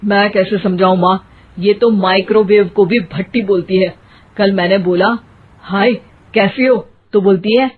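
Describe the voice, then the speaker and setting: A woman drops her pitch to play an earnest young married man in a cartoon tale. He is a put-upon, dutiful son, plain-spoken and eager to please. The audio is thin and phone-like. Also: fairly even intonation, measured pace, husky. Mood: complaining. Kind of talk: comic cartoon story acting. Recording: muffled, phone-like narrow sound.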